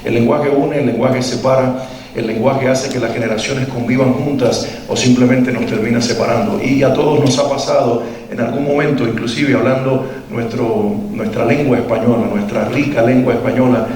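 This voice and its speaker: A man's voice: mid-range voice, steady tone, normal speed, a little mellow, slight background noise. Cuban man speaking in an echoey hall. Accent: Cuban Spanish. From Cuba. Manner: earnest, reflective, asks audience questions. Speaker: parenting workshop speaker. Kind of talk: talk to a live parent audience. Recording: echoey hall, mic at a distance.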